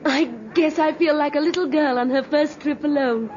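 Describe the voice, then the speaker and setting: High-voiced, yearning young sweetheart played by a woman. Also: moderate pace, a little mellow, lightly acted. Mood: tender, anxious. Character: devoted, yearning young woman in love, dreamy and earnest. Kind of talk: 1950s radio drama acting. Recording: dull, noisy 1950s radio recording.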